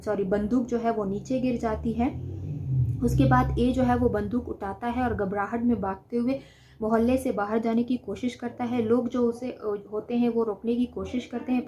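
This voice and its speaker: A woman's voice: a little high, warm tone. A woman lecturing in an explanatory way, posing questions and answering them herself, repeating key phrases. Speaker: law lecturer. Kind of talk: explanatory lecture with simple examples.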